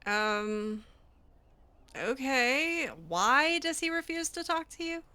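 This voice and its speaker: Slow-paced, bright-voiced woman gamer. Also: sits high, lively intonation, dry recording. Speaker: gaming video host, role-player. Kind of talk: casual in-character gameplay commentary.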